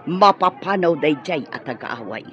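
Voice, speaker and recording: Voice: mid-range voice, animated, unhurried, bright, forceful tone, dull audio, some chatter behind, a little hiss or hum. A performer playing a conniving older Filipino mother who schemes against a wedding, theatrical and drawling, in a radio drama. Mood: conspiring.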